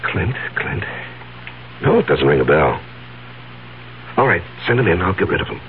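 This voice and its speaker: A man's voice: sits low, big pitch swings, moderate pace, phone-quality sound. An actor playing a busy, uneasy business executive in his thirties in a radio drama, curt and impatient with others. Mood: brisk, puzzled. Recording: thin, crackly 1970s radio broadcast.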